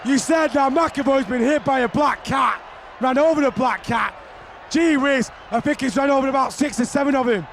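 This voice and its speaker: Excitable high-voiced rugby commentator. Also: male voice, normal speed, creaky. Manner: shouting excitedly over the action. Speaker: play-by-play commentator. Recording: archive broadcast with crowd noise.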